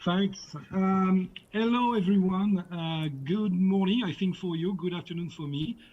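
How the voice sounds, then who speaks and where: A middle-aged man with a French accent gives a halting online lecture over a video call, often repeating words. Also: sits high. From France. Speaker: guest lecturer. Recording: dull, muffled video-call audio.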